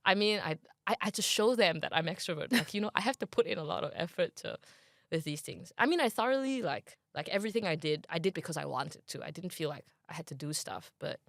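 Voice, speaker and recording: A young woman with a Malaysian accent speaks in a casual interview. Her voice has a gravelly edge, and she is recorded dry and close. She rambles in a chatty way, full of fillers and restarts as she thinks aloud. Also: on the low side, expressive, fast, dry recording. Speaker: interview guest.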